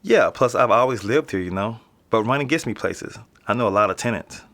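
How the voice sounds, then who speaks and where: A man's voice: mid-range voice, expressive, a little fast, fairly bright tone. A young man with an American accent playing a casual, slangy, streetwise teenage boy being interviewed in a naturalistic audio drama. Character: nonchalant streetwise teenage boy living in an apartment building.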